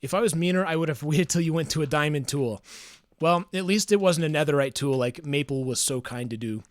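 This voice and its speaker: A man chatting casually on a livestream, reading chat aloud in a smooth, soothing voice, musing and hesitant with fillers. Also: fairly high pitch, fairly quick, no room sound, clean studio sound.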